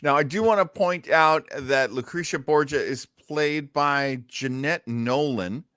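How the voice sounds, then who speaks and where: A man's voice: a little high, measured pace, a bit bright, no echo. A man hosting a podcast, chatting casually and wisecracking between clips, close on the microphone. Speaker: podcast host. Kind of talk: casual chat commentary on a radio play.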